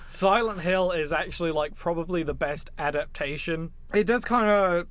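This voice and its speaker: A man's voice: on the high side, normal speed, a bit bright, smooth, no echo. A man co-hosting a podcast, talking casually and emphatically as an opinionated fan, with some swearing. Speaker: podcast co-host. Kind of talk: casual film discussion.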